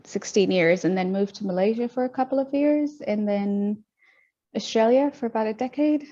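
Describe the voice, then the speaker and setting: A woman's voice: on the high side, moderate pace, dark tone. Reflective young woman, muffled audio. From Tanzania. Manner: reflective, many ums and restarts. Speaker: podcast guest. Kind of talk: casual interview talk.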